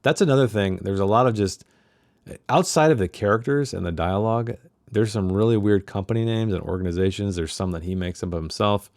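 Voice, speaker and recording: A man's voice: on the low side, fairly quick, a little mellow, no echo, studio quality. A man hosting a book club in a chatty, self-deprecating way, casually discussing passages he reads aloud.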